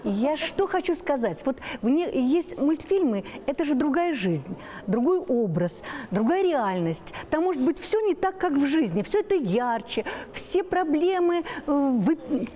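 A woman with a high, mellow voice offers her opinion a little hesitantly. There is faint noise behind her in the studio. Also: big pitch swings, a little slow. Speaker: discussion participant.

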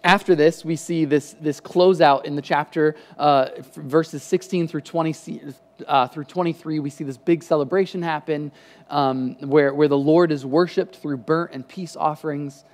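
A man in his thirties, a pastor giving a casual sermon on a church stage, talking conversationally into a headset mic with lively gestures and frequent restarts. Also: fairly high pitch, normal speed, in a large hall. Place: church stage with lit patterned backdrop.

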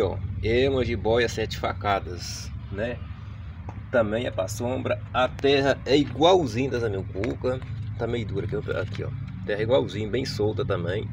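A man with a fairly low voice talks casually through plant care, checking in with his viewers as he goes. He is outdoors on a paved yard, filmed on a phone held close. Steady street and traffic noise runs underneath. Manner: chatty, explaining, often asks "entendeu, gente?". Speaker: channel host. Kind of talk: casual gardening tips vlog. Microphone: phone camera held close, filming plants.

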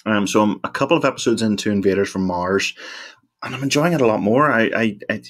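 A man co-hosting a podcast, chatting casually and haltingly, often repeating words as he starts. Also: lowish voice. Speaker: podcast co-host. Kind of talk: casual chat.

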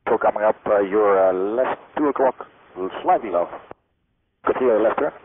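A man's voice: fairly low pitch, normal speed. A man speaking as a military pilot, making brief procedural calls over a two-way radio. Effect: two-way radio transmission. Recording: tinny, narrow, noisy military radio link.

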